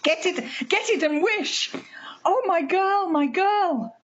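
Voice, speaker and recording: Casting: woman as mournful, insistent elderly mother maddened by grief; slow, extremely high voice, slightly muffled radio play sound. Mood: obsessive demanding. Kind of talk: amateur radio play acting.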